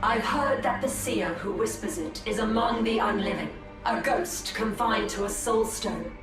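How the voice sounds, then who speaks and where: A woman's voice: medium pitch, measured pace, close to an everyday voice. An actress playing a proud, determined female Eldar leader who speaks formally. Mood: resolute. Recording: very echoey, distant game audio with music.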